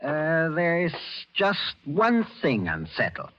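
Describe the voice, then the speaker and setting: An actor playing a cold, commanding German general with a German accent and formal speech in a 1940s radio thriller. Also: male voice, a little high, wildly swooping pitch, slow, full character voice. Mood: cold, insistent. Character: menacing Nazi German general, cold and demanding. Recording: muffled old-time radio recording.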